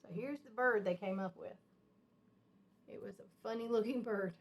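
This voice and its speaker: A woman reading a children's storybook aloud like a teacher, expressive and emphatic, with simple explanatory asides. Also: medium pitch, moderate pace.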